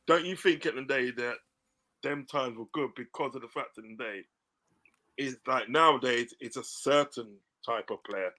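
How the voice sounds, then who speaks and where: Bright-voiced enthusiastic man. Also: mid-range voice, moderate pace. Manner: enthusiastic, repetitive. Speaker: panel guest. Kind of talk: casual chat.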